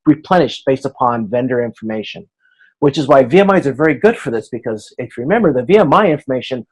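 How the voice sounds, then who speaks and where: A male instructor gives an informal lecture at a middling pitch. He explains things step by step, with halting phrasing, and often slips into quoting imagined exchanges to illustrate a point. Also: low-quality recording. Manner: explanatory, halting, checks understanding, acts out hypothetical dialogue. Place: video conference class.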